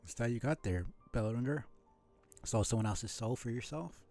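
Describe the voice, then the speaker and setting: A man's voice: mid-range voice, animated, normal speed, darkish tone, faint music behind, natural voice. A man voicing a jaded, scornful bug in a video game, blunt and folksy in manner. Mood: suspicious, probing. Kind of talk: video game dialogue read aloud.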